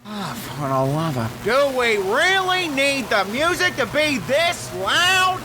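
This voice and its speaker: A man's voice: very high-pitched, very animated, slow pace, music in the background, full character voice. A man playing a fussy, skeptical, anxious space traveler in an audio drama, whiny and easily flustered. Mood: exasperated.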